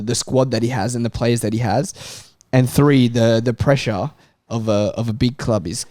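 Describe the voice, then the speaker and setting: A man's voice: medium pitch, a little mellow, dry recording, clean studio sound. A man speaking as a football panellist in a casual debate, building an argument point by point.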